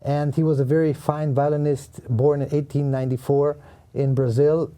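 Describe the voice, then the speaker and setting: A man's voice: fairly high pitch, flat delivery, mellow. Earnest man with a delivery. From Italy. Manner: earnest, slightly halting non-native phrasing. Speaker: guest violinist. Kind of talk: studio interview answers.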